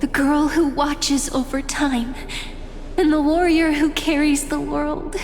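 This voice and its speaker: A gentle young guardian of time speaks softly and slowly in a high, wistful voice that often drops to a whisper. Long reverb and faint music trail behind her in this game cutscene. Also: level tone. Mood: tender.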